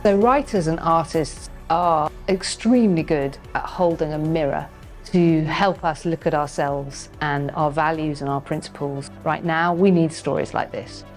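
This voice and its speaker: A woman's voice: deep voice, unhurried, faint music behind. A woman talking in a documentary interview about work she helps lead. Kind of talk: interview talk for a making-of documentary.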